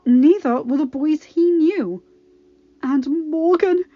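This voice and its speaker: A woman reading a story aloud as a podfic narrator, dramatic and with emphatic pauses. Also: high-pitched, no room sound, muffled recording.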